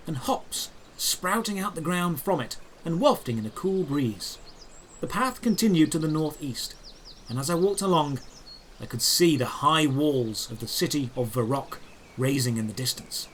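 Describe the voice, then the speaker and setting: A man narrating a first-person fantasy audio drama in a deliberate, descriptive way with dramatic pauses. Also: a little high, fairly bright tone. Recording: clear background noise with birdsong. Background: birdsong and outdoor ambience effects.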